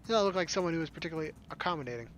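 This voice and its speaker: A woman's voice: sits low, expressive, very fast. A woman reading a visual novel aloud as a playthrough host, with flippant, casual asides. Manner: flippant, jokey. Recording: loud game music behind the voice.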